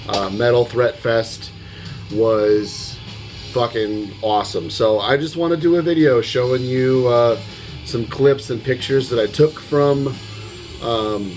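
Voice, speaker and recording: A man with a slightly hoarse voice giving a casual vlog recap, rambling and hesitant, with the odd casual swear word. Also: mid-range voice. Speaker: music vlogger. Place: at home. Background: metal music playing in the background.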